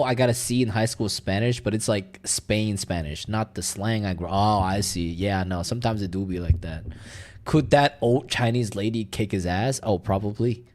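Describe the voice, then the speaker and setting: A young man whose first language is Mandarin, chatting loosely and profanely while reacting on a stream. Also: fairly low pitch. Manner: rambling, profane, filler-heavy. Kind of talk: casual reaction stream chat.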